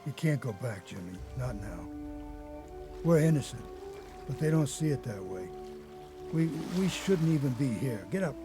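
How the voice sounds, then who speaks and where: An actor playing a haunted old grandfather, an escaped convict telling his story haltingly in a radio drama. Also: mid-range voice, very animated, music in the background, natural voice. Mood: urgent. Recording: noticeable background noise.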